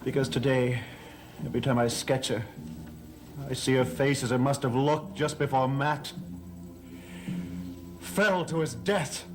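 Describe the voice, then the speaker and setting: An actor playing a troubled, haunted painter in a gothic soap opera, speaking in a halting, strained manner. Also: male voice, medium pitch, fairly even intonation, natural voice. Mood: haunted, agitated. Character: troubled, guilt-haunted portrait painter, anxious to escape. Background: dramatic music.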